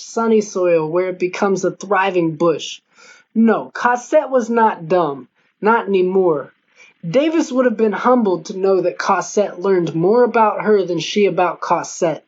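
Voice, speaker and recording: A male narrator reads a comic novel aloud in scripted audiobook style. His pitch sits in a middle register. The recording is somewhat dull and lo-fi.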